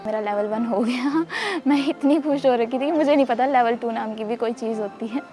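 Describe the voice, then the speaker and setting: A woman's voice: fairly high pitch, fairly quick, warm tone, faint music behind. A young woman from a village in Kaithal district, a student, chatting cheerfully in an interview. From Kaithal district, Haryana. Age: young adult. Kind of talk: casual interview.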